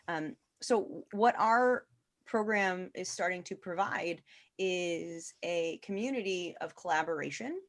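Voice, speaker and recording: A woman's voice: fairly low pitch, unhurried. A woman speaking casually as an expert guest in a webinar, emphatic and repeating phrases to stress her points. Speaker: guest physician and nonprofit founder. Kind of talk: casual webinar talk about esports advocacy.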